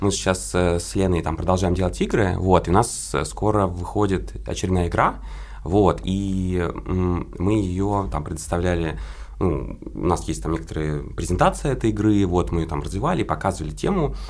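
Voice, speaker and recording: A man speaks in a deep, fry-edged voice. He rattles along casually, circling around his point with hedges and half-finished explanations, as a guest in a relaxed interview. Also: a little fast. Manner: rambling, hedging explanations. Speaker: guest, creative partner in an illustration and games project.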